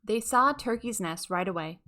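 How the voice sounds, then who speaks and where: Bright-voiced woman narrating a children's storybook. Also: medium pitch, expressive, normal speed.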